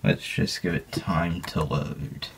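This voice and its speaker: A man's voice: sits low, steady tone, slow pace, vocal fry. A young man voicing an amateur toy train story, talking in a casual, offhand way with internet slang. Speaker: toy train video narrator. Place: small indoor room. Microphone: phone camera held close. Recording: loud background noise, home phone recording. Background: loud noise and clicks.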